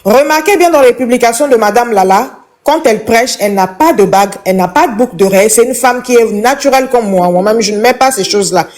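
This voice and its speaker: A woman's voice: a little high, quick pace, few pauses, a little mellow. A woman from Congo, calling into a live chat and giving an impassioned, preachy opinion that repeats its points.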